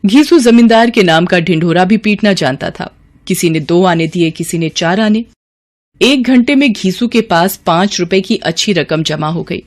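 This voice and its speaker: A woman's voice: lowish voice, lively intonation, moderate pace. A woman reading scripted literary story narration as the narrator.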